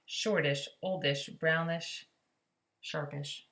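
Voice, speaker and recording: A woman reading a children's story aloud expressively, pausing to explain it in simple words. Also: deep voice, fairly even intonation, gravelly, a bit bright.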